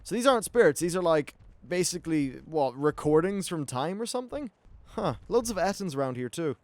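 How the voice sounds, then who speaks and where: Higher-pitched man casually narrating gameplay. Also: very animated, fairly quick, a little mellow, dry recording. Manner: sweary, informal. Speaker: gaming video host.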